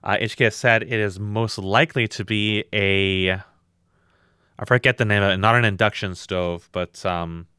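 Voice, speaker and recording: A tired man with a loud voice, co-hosting a podcast in a blunt, rambling, swearing chat. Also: on the low side, fairly even intonation, measured pace, clear, resonant tone, no echo, studio quality. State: very tired from lack of sleep. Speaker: podcast co-host.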